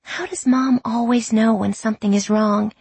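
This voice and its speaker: A woman voicing an earnest teenage high school girl confiding in her diary in an audiobook, self-mocking and candid. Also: steady tone, normal speed, darkish tone, husky, no room sound. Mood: chatty, confiding. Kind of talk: audiobook reading of diary entries.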